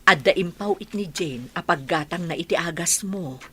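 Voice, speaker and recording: A Filipino woman acting a caring, earnest female relative in a radio drama, with a husky, breathy voice and a Filipino accent. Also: lowish voice, normal speed, sharp tone. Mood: earnest, reassuring.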